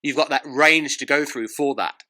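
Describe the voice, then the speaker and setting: A man's voice: on the high side, normal speed, pushed and bright. A man interviewing in a casual, attentive way, feeling out his questions and agreeing briefly.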